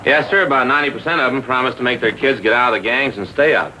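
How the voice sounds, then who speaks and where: An actor playing a firm, plain-spoken police officer in 1950s TV drama style. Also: male voice, mid-range voice, fast talker, pushed and bright. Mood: businesslike. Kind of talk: 1950s TV crime drama acting. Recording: noisy 1950s TV soundtrack.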